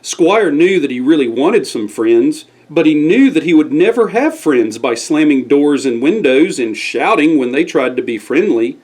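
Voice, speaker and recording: A man's voice: fairly high pitch, very animated, moderate pace, few pauses, a little mellow. A man reading a scripted bedtime story aloud as the storyteller.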